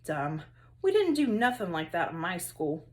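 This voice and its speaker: A woman's voice: fairly low pitch, big pitch swings, normal speed, pitched below the performer's own voice. A woman voicing a brash, cocky third grade boy with slangy, teasing talk in a storybook. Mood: sneering.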